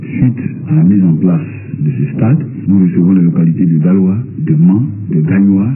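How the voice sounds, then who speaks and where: A man's voice: low voice, moderate pace. A man giving a formal interview statement as a sports official, heard over shortwave radio. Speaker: athletics official interviewed in report. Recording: tinny narrow-band shortwave radio.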